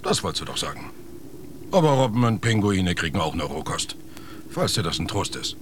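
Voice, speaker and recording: Lively, stern expedition man. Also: deep voice, brisk, a little hiss or hum. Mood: dry, resigned. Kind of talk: radio drama acting. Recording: faint background ambience.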